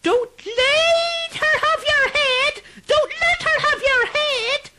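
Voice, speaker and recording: A man voicing the ghost of a headless dead Irishman with a high, drawn-out wail. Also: sharp tone, exaggerated voice. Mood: shouting. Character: dead man's ghost, headless, mournful and eerie.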